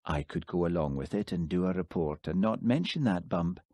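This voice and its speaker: A male narrator voicing a dogged village policeman from the Scottish Highlands, speaking in a dry, sarcastic way. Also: normal speed, mellow, no room sound. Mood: brooding.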